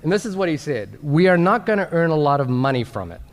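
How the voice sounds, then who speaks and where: A man with a fairly high voice hosts a live film panel. He speaks to the audience in a discursive way, often restarting his sentences. He is heard over an amplified room setup at a convention. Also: big pitch swings, quick pace, long reverb tail. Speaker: film podcast co-host.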